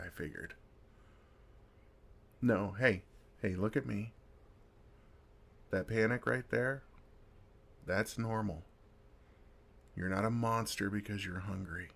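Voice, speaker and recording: Man acting as a slow, low-voiced, wry vampire. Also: vocal fry, husky, full character voice. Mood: gentle, reassuring. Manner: gentle, casual, self-deprecating, dryly sarcastic. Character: kind, wry older male vampire comforting a fledgling. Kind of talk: ASMR roleplay acting, intimate and conversational.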